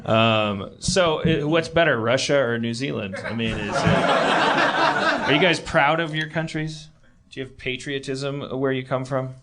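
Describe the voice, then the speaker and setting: A man's voice: mid-range voice, sing-song, a little slow, noisy background. An American man hosting a comedy show, chatting with guests in a rambling, stammering, stop-start way.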